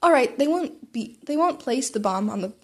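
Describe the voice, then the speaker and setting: A woman's voice: high voice, animated, normal speed, slightly rough. A woman voicing an earnest, analytical teenage boy training as a hero, hesitant and rambling as he talks, in a fan fiction reading. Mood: analytical, focused.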